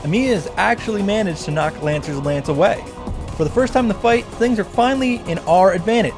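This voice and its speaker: A man's voice: high voice, expressive, fairly quick. A man narrating a story recap in a casual, wry way with dramatic emphasis. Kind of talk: casual scripted narration of a visual novel recap. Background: background music.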